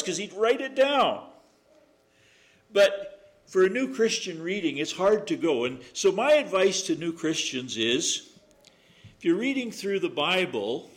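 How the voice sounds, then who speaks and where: A man preaching a sermon to a congregation, reading scripture, praying, and joking in asides with a loud voice. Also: high-pitched. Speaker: guest preacher. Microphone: microphone through speakers. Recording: echoey room.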